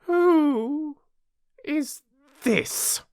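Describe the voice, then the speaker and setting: A storyteller voicing a furious, imperious queen in a shrill, loud voice, severe and impatient, barking out commands. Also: very slow, no room sound, clean studio sound, heavily acted. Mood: severe, demanding.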